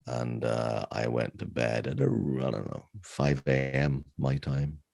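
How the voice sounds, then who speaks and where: A British man co-hosting a podcast in casual chat, tired after a late night, raspy and relaxed with fillers. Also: extremely low pitch, measured pace, no room sound, studio quality. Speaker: podcast co-host.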